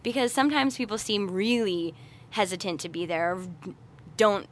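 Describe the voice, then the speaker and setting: A woman's voice: mid-range voice, animated, moderate pace. Casting: young adult female interviewee, mid-range voice, natural conversational delivery for a podcast. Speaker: podcast guest, researcher and party facilitator.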